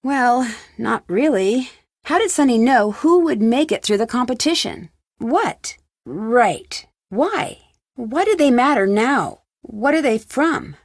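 A woman's voice: on the high side, lively intonation, slow, no room sound, studio quality, exaggerated voice. A woman playing an inquisitive, lightly wry young female detective in video game dialogue. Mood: curious, friendly. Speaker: video game lead character. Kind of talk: video game dialogue, separate questions and replies.

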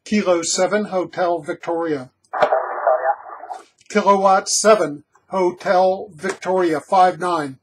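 A man in his sixties, an amateur radio operator, giving call signs and brief remarks in a clipped, deliberate way. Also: sits high, fairly even intonation. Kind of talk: radio call-sign calling and brief commentary. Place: small cluttered home radio room. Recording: loud radio static and clicking noise.

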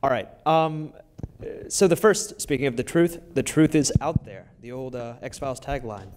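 A young man seated on an auditorium stage, speaking into a handheld microphone in a fluent, measured conference keynote. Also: medium pitch, noisy background. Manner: fluent, measured, gestures while talking.

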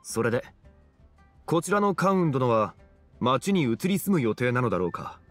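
Actor as refined scholar for an anime game: calm, eloquent, formal, middle register, light music underneath. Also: male voice, mid-range voice, normal speed, no echo, natural voice. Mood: friendly, composed. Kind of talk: Japanese anime game voice acting.

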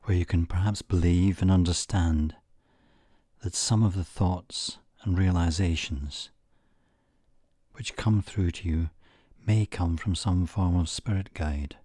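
Male meditation guide: deep and husky, soothing, measured short phrases with pauses, breathy near-whisper endings. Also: fairly even intonation, dark tone, no echo, studio quality.

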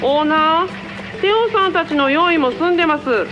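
A woman acts out a dutiful young operator speaking over an intercom in a radio drama. Her voice is high, polite and composed, with music behind it. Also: moderate pace, lo-fi sound. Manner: polite, procedural.

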